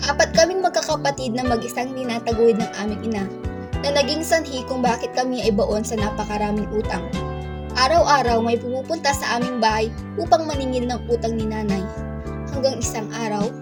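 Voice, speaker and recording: A woman's voice: fairly high pitch, fairly quick, natural voice. A woman playing a young woman solemnly recounting a hard childhood as narration in a radio drama. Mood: sorrowful, reflective. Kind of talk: scripted first-person radio drama narration. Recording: loud music bed under voice.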